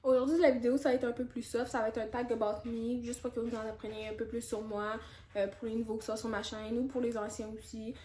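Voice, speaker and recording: A woman's voice: fairly high pitch, little pitch movement, fast, reverberant, over music. A woman in her twenties from Canada with a Quebec French accent, chatting candidly through a casual Q&A vlog with lots of fillers and asides. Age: 20s. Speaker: YouTuber.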